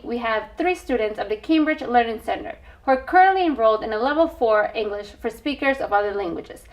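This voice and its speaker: Woman host, bright higher voice, keeps guests talking with warm little affirmations. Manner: encouraging, with frequent short affirmations. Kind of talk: community TV interview.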